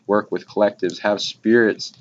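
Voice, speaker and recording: A man's voice: fairly low pitch, steady tone, normal speed. A man talking casually on spiritual matters in long, rambling, run-on sentences. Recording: dull lo-fi recording with background noise.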